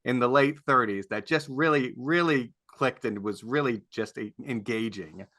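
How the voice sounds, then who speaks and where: Guy panelist, bright voice, average pitch, dry mic sound, casual chat. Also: moderate pace, no room sound. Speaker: podcast panelist.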